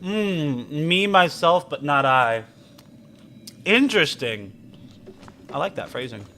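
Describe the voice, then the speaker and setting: High-voiced, bright male game streamer. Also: slow pace, a little hiss or hum. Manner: excitable, playful, talks to himself. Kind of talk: casual live gameplay commentary.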